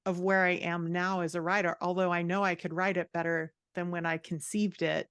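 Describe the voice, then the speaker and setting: A woman's voice: fairly low pitch, level tone, fairly quick, a bit bright, smooth, no room sound, clean studio sound. A woman speaking as a guest author and writing coach in a casual podcast conversation.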